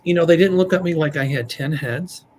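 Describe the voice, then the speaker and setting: A man with a slightly raspy voice, chatting and telling stories with jokes as a psychic on a live show. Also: fairly high pitch, fairly quick, a little mellow. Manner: chatty, joking, storytelling. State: slightly raspy after surgery. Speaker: psychic, show guest-regular. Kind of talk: casual chat on a live stream.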